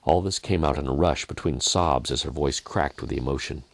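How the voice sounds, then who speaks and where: A man reading his own Christmas story in a dramatic, expressive way, with deliberate pauses. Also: very deep voice, no echo. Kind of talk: scripted Christmas story narration.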